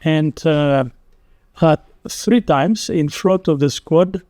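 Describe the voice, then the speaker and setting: An older man from Greece with a Greek accent, telling a history in a slow, halting way full of pauses and fillers. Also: on the high side, darkish tone, no echo, clean studio sound. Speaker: publisher recounting company history. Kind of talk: unscripted talk.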